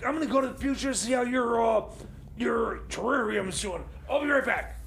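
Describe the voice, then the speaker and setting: Male radio comedy sidekick, halting, repetitive delivery, unusually high voice with gravelly fry. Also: fairly bright tone, slight background noise. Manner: halting, stammering, repeats words. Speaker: co-host and comic sidekick. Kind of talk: casual comedy radio banter.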